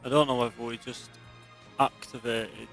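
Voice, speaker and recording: A man's voice: medium pitch, level tone, normal speed, gravelly, a bit bright. A man giving casual let's play commentary on a video game. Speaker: gameplay commentator. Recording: game music behind the voice. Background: video game music.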